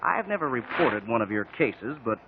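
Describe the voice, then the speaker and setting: An actor playing a chatty, eager, polite reporter with an American accent in a 1950s radio drama. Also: male voice, medium pitch, sing-song, normal speed. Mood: inquisitive. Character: chatty, inquisitive newspaper reporter. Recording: noisy tinny old radio recording.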